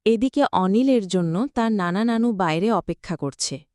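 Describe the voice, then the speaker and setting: A woman narrating a children's cartoon story in a steady reading manner. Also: medium pitch, normal speed, no echo, studio quality.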